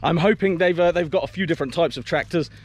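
A man's voice: fairly high pitch, quick pace. A man vlogging from his farm, talking casually to the camera outdoors, often restarting and repeating words as he goes. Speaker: vlogger and smallholder.

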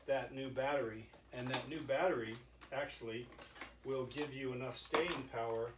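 An older man giving a halting, folksy, informal product demonstration, like an inventor showing off his own gadget. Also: mid-range voice. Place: indoor cluttered home workshop. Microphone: camera mic a few steps away. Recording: echoey workshop with background noise.

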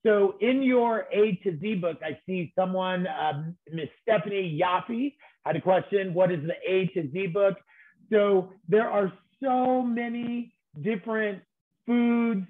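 A man moderating a virtual panel in an expressive, conversational way over a video call. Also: high-pitched. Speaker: panel host. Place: video call from a room at home. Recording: thin phone-like video call audio.

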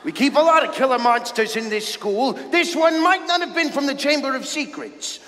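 An actor playing a flippant, scatterbrained wizard headmaster with a halting, stammering delivery in a comedic staged reading. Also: male voice, very high voice, lively intonation, pushed and bright, heavily acted. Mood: flustered, casual. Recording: echoey hall, audible room noise.

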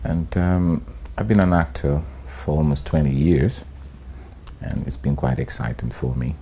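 Deep gravelly nigerian guy, middle-aged, relaxed interview chat on a lapel mic, lots of ums. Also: measured pace. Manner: relaxed, hesitant with many ums and you knows. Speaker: actor. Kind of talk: sit-down interview monologue. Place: bright studio room, indoors.

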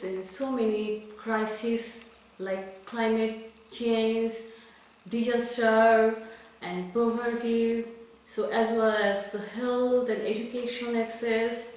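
A woman in her thirties from Bangladesh, a development project manager speaking slowly and haltingly to a camera, with many pauses and fillers. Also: a little high, slight background noise. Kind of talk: presentation to camera. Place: plain indoor room. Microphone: camera mic from a few feet away. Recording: echoey room, distant mic.

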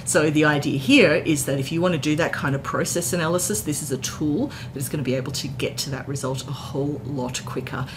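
Woman, forties, deep voice, keen explainer delivery, tech presenter, indoor room, noticeable rumbly noise. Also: fairly quick, hardly any pauses. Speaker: tech content creator, presenter. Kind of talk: informal explainer recap to camera. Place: indoor hotel-style room. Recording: clear background noise with low rumble.

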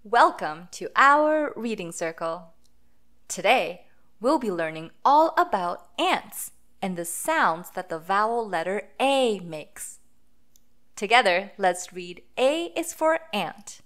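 Female children's read-aloud host: very unhurried, deliberate phonics delivery, mid-range pitch. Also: sing-song, a bit bright. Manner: deliberate, sounding out letters and words for children.

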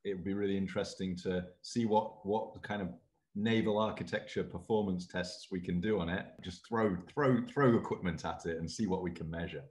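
Low-voiced rambling lecturer on a video call. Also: male voice, normal speed. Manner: self-deprecating, rambling, animated with hand gestures. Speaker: university lecturer in naval architecture. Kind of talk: casual video call interview. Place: office with bookshelves, indoors. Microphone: laptop webcam video call.

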